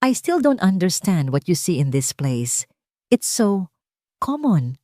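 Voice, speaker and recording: Woman narrator as spoiled rich Filipino fiancée for romance audio drama: low-pitched, condescending, sweet on top, venom underneath. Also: sing-song, slow pace, dark tone, no echo, clean studio sound. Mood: disdainful. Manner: condescending, mixing English into Tagalog.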